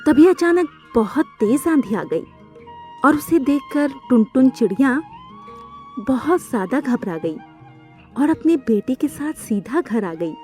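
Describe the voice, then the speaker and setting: A woman narrates a children's cartoon story in a very high voice. She reads from a script as the storyteller, with music playing behind her. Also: big pitch swings, measured pace, a little mellow.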